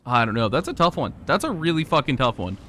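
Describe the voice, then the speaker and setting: Hoarse man streaming a hard game. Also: mid-range voice, sing-song, fast, a bit bright. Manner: frustrated, swearing, thinking aloud. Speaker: gaming streamer. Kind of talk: live gameplay commentary.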